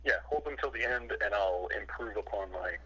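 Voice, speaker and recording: A young man talking casually, then reading aloud in a halting, self-conscious way over a voice call. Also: lowish voice, pushed and bright, husky, low-quality recording. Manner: hesitant, stumbling, self-conscious. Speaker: reader of creepypasta-style logs.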